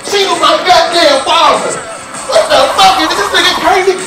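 A man loudly cursing and laughing in a barbershop comedy sketch. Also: extremely high pitch, fairly even intonation, moderate pace, roomy sound, music in the background, a crowd in the background, rough recording. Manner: loud, boisterous, cursing, laughing. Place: barbershop, indoors.

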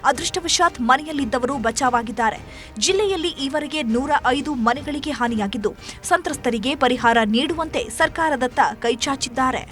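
A woman's voice: high voice, normal speed, fairly bright tone. A woman narrating scripted news in an emphatic, dramatic way. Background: music bed.

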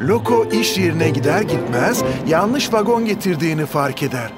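A man's voice: fairly high pitch, animated, moderate pace, over loud music. A man reading scripted narration for a children's cartoon.

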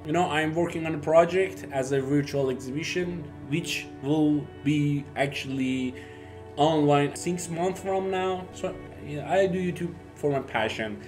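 A young man from Iran, a native Persian speaker, chatting casually to camera in halting, Persian-accented English as a YouTube host. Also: fairly high pitch. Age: young adult. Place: small home office, indoors.

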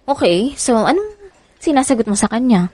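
A woman's voice: on the high side, sing-song, normal speed, mellow, no echo. A woman playing an insecure young mother in a radio drama, questioning her lover in a pleading way. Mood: pressing, doubtful. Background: background music.

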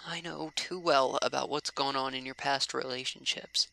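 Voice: mid-range voice, fairly even intonation, moderate pace, gravelly, bright, forceful tone, rough recording. An actor voicing a shy, anxious, bookish teenage boy from the 1950s in a comic dub, hesitant and stammering. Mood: nervous.

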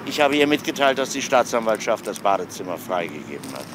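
A calm police inspector in a crime drama, with a low voice that moves up and down freely. He questions politely and patiently. The old soundtrack is clearly noisy. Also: fast talker. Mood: friendly, casual. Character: calm, courteous, probing male police inspector.

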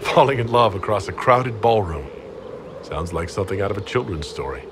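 A calm, sympathetic leader from a fantasy game , played by a male actor, with a deep voice. He talks in a measured, sincere way. Clear ambient noise sits behind him. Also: natural voice. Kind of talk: video game dialogue acting.

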